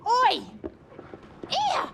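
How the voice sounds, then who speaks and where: Excitable brothel woman, very high, swooping, loud noise behind, game cutscene. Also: extremely slow, vocal fry, full character voice. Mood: indignant, alarmed. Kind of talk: cinematic game acting.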